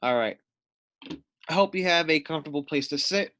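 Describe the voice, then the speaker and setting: Expressive man reading to children, lively pitch. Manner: expressive, deliberate storytelling. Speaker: storybook reader, teacher-like. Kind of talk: read-aloud for children.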